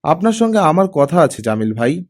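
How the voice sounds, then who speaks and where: A man's voice: on the high side, very animated, moderate pace. A man voicing a weary, irritable young mother in an audiobook, speaking curtly and stubbornly. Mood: guarded. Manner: curt, stubborn.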